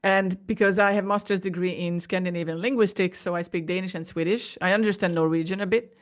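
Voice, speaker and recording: A woman's voice: lowish voice, fairly even intonation, fairly quick. A woman in her forties from Hungary, a native Hungarian speaker with a Hungarian accent, chatting casually as a podcast guest and often restarting her sentences. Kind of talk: casual interview talk.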